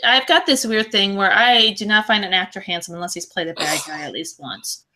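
A woman's voice: medium pitch, brisk, sharp tone, a little hiss or hum, low-quality recording. A woman co-hosting a podcast, chatting casually about films in a gushing, slangy, effusive way. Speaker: podcast co-host.

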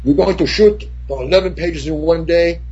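A man putting on a German accent for a bossy male film director, with a husky voice. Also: a little high, normal speed, warm tone, voice pushed up. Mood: defiant. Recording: dull lo-fi recording.

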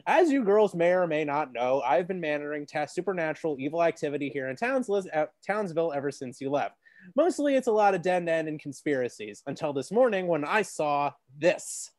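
A man's voice: fairly high pitch, animated, fairly quick, a little mellow, no echo, lightly acted. A man reading the lines of an awkward, rambling middle-aged inventor dad from a script. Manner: reading dialogue aloud from a script.

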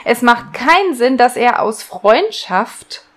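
A woman's voice: fairly high pitch, sing-song, slow pace. A woman co-hosting a podcast, chatting casually and picking at the logic with questions. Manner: questioning, picks at plot logic. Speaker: podcast co-host. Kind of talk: casual discussion of an audio drama.

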